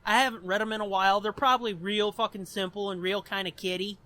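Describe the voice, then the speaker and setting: A man's voice: sits high, fairly even intonation, fast, bright, forceful tone. A man in his twenties telling a story on a podcast in a rambling, casual way, swearing often. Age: 20s. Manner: profane and rambling. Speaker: podcast co-host. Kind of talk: casual chat.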